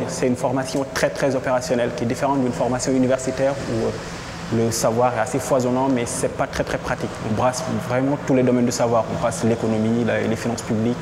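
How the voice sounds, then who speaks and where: A man's voice: mid-range voice, background noise. A man giving a testimonial interview as a student. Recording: film replayed in echoey hall, music behind.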